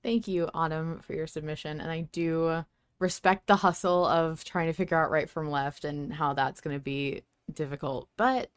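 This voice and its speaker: Woman, podcast host, casual chat, somewhat low pitch, dry close sound. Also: fairly even intonation, moderate pace, clean tone, no echo.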